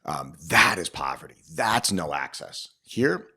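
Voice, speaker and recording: A man's voice: deep voice, very animated, unhurried, pushed and bright, husky. A man speaking emphatically and casually in an interview, giving opinionated advice with rhetorical check-ins. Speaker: interviewed business owner and show host.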